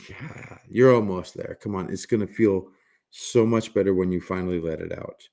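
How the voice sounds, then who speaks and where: Low, gravelly man with a raspy voice. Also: moderate pace, mellow. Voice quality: raspy smoker's voice. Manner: joking, giggly, rambling with many restarts. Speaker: livestream host. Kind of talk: casual livestream chat with viewers.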